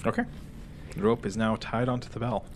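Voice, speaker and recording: Low-voiced man running a tabletop game, noisy recording. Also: steady tone, fairly quick. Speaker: game master. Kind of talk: casual tabletop game narration.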